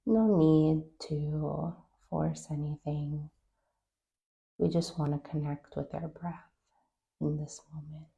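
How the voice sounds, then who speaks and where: A woman guides a meditation in a low, mellow voice with a touch of gravelly fry. Her delivery is calm and gentle, offering soft instructions and leaving long pauses between short phrases. The melody stays very even throughout. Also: dull audio, slight background noise.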